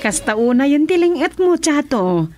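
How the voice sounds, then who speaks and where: Lively-pitched madam of the house. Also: female voice, normal speed, loud music behind the voice. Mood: self-assured. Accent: Filipino. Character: lady of a wealthy house addressing her helper. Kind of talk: Filipino radio drama acting.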